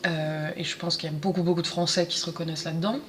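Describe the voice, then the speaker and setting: A woman speaks in a somewhat low voice. She talks casually, hesitating and restarting as she thinks. A faint background hiss runs under the recording. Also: level tone, racing. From France, Paris suburbs. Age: 20s. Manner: hesitant, many fillers and restarts. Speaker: interview guest. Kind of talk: casual conversational interview.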